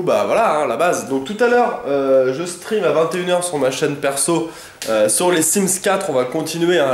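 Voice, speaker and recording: A man's voice: on the high side, a little hiss or hum. A young man with a head cold, sounding congested, chatting casually in a rambling vlog with lots of hesitations and slang. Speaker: vlogger. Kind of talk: casual daily vlog chat. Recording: echoey room.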